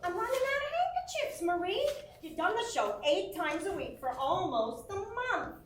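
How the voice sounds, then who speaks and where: An actress playing an exasperated, chiding companion in a stage musical. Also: female voice, extremely high pitch, big pitch swings, moderate pace, slight background noise. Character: exasperated, chiding woman companion of a nervous star. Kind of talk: musical theater stage acting. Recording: roomy, distant stage recording with music.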